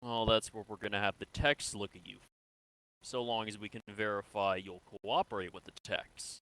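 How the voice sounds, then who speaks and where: A man playing a calm, businesslike sci-fi military interrogator in an improvised game roleplay, questioning in a measured, conversational way. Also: lowish voice, rough recording. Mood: firm, reassuring. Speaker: player in an online roleplay.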